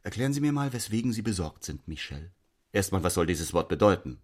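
An actor playing a cynical, smugly provocative husband, articulate and lecturing in a stage-like drama. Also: male voice, low voice, very animated, quick pace. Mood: sardonic lecturing. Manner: sardonic, lecturing.

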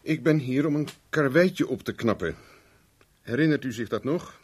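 An actor in a 1970s Dutch radio play, playing a cocky private investigator with a dry, teasing, self-mocking manner. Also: male voice, mid-range voice, normal speed, slightly rough, natural voice. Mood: firm, explaining. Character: wry, cocky male private investigator for hire.

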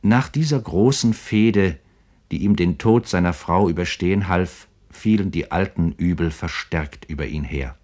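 Deep-voiced male documentary narrator. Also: measured pace, darkish tone. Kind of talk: scripted literary radio narration.

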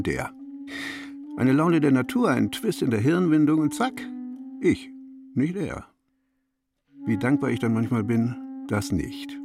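An actor playing a wry, condescending, smugly rational physics teacher in a theatrical drama, with pointed delivery. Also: male voice, fairly high pitch, vocal fry, full character voice. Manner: sardonic, pointed. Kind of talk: theatrical monologue and dialogue in a drama.

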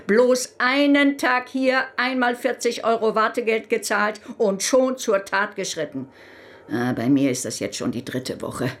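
An actress playing a well-meaning, awkward amateur therapist in a radio play, halting and rambling. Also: female voice, medium pitch.

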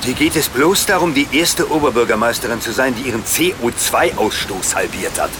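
Actor as a weary, lively-pitched older professor. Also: male voice, fairly quick, close to an everyday voice. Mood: exasperated, bitter. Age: 60s. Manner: exasperated, bitter. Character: weary, disillusioned climate professor in his sixties, bitter husband. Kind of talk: satirical radio play dialogue. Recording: clear background noise, rain and vehicle ambience.

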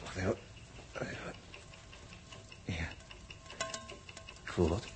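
An actor playing a grumbling, sarcastic spaceship technician in 1960s Dutch radio drama, with a Netherlands accent. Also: male voice, sits low, steady tone, extremely slow, over music. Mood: grumbling, tense. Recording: noisy old 1960s radio recording.

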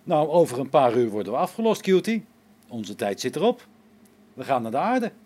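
A man plays an excitable crewman on a space station in a radio drama. His husky, mid-pitched voice swings up and down. He sounds uneasy and agitated. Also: moderate pace. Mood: matter-of-fact. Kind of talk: radio play acting.

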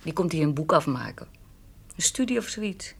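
An actress playing a calm, frank, independent woman in a radio drama, plain-spoken and gently teasing. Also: sits low, normal speed, vocal fry, breathy edge, exaggerated voice. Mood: matter-of-fact, teasing.

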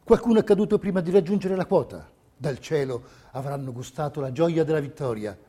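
A solemn stage narrator of wartime history. His voice is fairly high for a man yet soft-edged and mellow, with a grave theatrical delivery. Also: brisk, husky.

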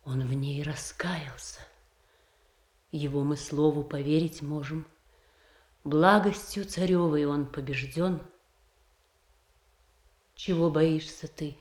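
A woman's voice: sits low, steady tone, slow, pauses often, a little mellow, echoey room. An actress playing a noble, earnest Tsarina who pleads solemnly with her brother in a classical verse radio drama. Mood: earnest pleading. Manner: solemn, theatrical, imploring delivery. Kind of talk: classical verse tragedy in a Russian radio play.

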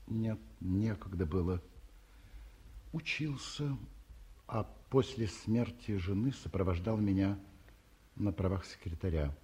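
An actor playing an ageing, distinguished and sentimental scientist, with a husky voice and theatrical 1950s Soviet radio play delivery. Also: male voice, low-pitched, mellow, exaggerated voice. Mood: reflective. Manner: theatrical, emotional, deliberate.

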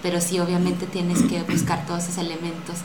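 A woman's voice: fairly low pitch, completely flat delivery, fairly quick. A woman with a Mexican accent giving conversational answers as a radio scriptwriter in an interview. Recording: echoey room with clear background noise.

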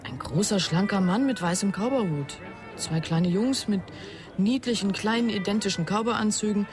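A woman's voice: medium pitch, moderate pace, lightly acted. Noir radio female lead: actress playing enigmatic photographer, medium register, conversational and cool, music bed. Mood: guarded, wistful. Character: cool, enigmatic woman photographer, teasing yet uneasy. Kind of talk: radio crime drama dialogue. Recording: music under the voice.

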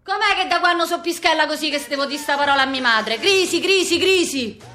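Brash childlike street girl, Roman accent, very high bright voice, slangy, excitable, foul-mouthed, theatrical monologue. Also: a little fast. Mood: wistful, eager. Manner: slangy, repetitive, excitable, acting out many people.

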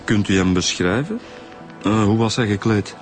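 A man's voice: on the low side, moderate pace, natural voice. An actor playing a polite, methodical male police inspector with a Flemish accent and a hesitant, businesslike manner, in a radio drama. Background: music.

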